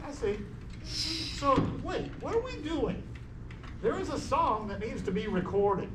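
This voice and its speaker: A woman's voice: sits high, sing-song, unhurried. A woman reading the part of a pop singer caught in a body swap in an amateur comedy play. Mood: bewildered. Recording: noisy echoey room, far from mic.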